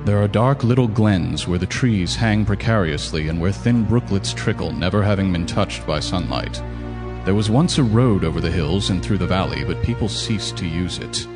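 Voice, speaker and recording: A man narrating a horror radio drama in a measured, somber way. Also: low-pitched, over music. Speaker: narrator, a surveyor.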